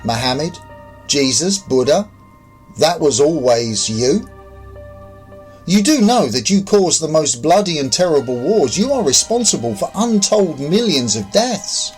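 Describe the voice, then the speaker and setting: A man's voice: fairly high pitch, very animated, measured pace, a little mellow, faint music behind. A man voicing an agitated, disoriented man in a sci-fi audio story, questioning and accusing. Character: agitated, disoriented man revived in devastated world.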